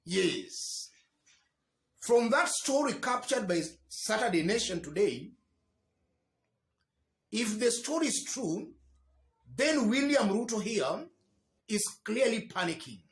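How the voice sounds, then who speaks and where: A man with a Kenyan accent delivering political news commentary as a host, with emphatic, deliberate phrasing in short bursts. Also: high voice, very animated. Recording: echoey room.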